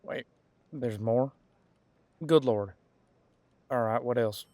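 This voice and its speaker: A man playing a centuries-old, easygoing detective in an audio roleplay, talking casually and folksily as he muses aloud with pauses. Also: mid-range voice, mellow, dry recording, natural voice. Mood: surprised. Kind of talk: detective roleplay audio, one side of a conversation.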